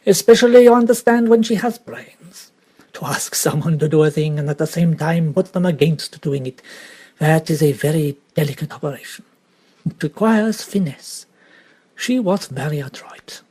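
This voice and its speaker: A man's voice: on the high side, normal speed, darkish tone, husky. A man voicing a vain, clever little Belgian male detective, precise and theatrical, sometimes dropping to a whisper. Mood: musing.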